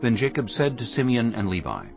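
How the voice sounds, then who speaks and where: A man's voice: medium pitch, huge pitch swings, fairly quick, vocal fry. A man narrating scripture from a script in a solemn storytelling manner. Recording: muffled audio under music. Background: music.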